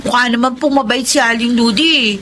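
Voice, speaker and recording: A woman's voice: a little high, brisk, a bit bright, a little hiss or hum, close to an everyday voice. An actress playing a naive, hopeful teenage country girl in a radio drama, pleading and deferential. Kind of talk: Tagalog radio drama acting.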